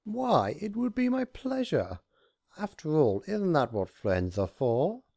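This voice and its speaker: A man's voice: a little high, wildly swooping pitch, slow, gravelly, darkish tone, no room sound, clean studio sound, pitched above the performer's own voice, full character voice. A man voicing a gleeful, scheming male chaos spirit in a storybook reading, grandiose, theatrical and mock-sweet. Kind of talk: storybook character voice.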